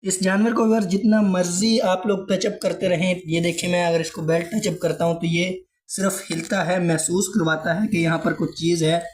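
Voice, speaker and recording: A man's voice: sits high, little pitch movement, brisk. A man from Pakistan, vlogging casually to his viewers with a sore throat from a cold. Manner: chatty, addresses viewers often. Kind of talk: casual vlog narration to viewers. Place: hot store room in a village house.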